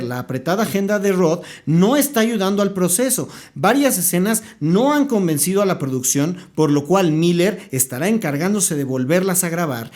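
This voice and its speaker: High-voiced man presenting. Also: a little mellow. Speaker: podcast host. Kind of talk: show presenting, scripted news briefs.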